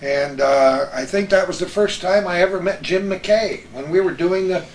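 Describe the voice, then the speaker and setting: A man's voice: sits high, normal speed. An older American man hosting a chat and telling anecdotes about his radio days with old colleagues. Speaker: host, veteran radio broadcaster. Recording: roomy echo with some background noise.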